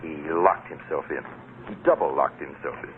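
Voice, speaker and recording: A man's voice: a bass voice, moderate pace, narrow, tinny audio. A man narrating a story dramatically in a 1930s radio style. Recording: old radio, thin band, faint noise.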